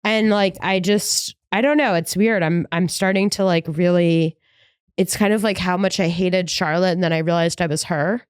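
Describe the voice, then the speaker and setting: A woman's voice: lowish voice, fairly even intonation, normal speed, smooth, no room sound, studio quality. A woman in her thirties co-hosting a podcast, chatting casually with frequent rambling and self-amused asides. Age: 30s. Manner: chatty, rambling, laughs at herself. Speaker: podcast co-host. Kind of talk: casual recap chat.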